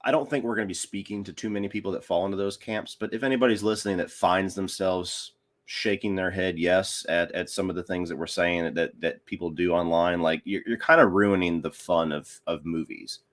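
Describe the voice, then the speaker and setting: A man's voice: low voice, steady tone, a little fast, fairly bright tone. A man talking casually about films as a guest on a podcast. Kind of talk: casual film discussion.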